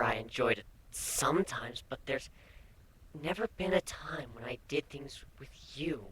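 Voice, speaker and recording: A woman's voice: rumbling low, a bit bright, slight background noise. A woman playing a playful, affectionate female changeling pony in a dramatic fan fiction reading, with a casual, teasing delivery. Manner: teasing, casual, hesitant in places.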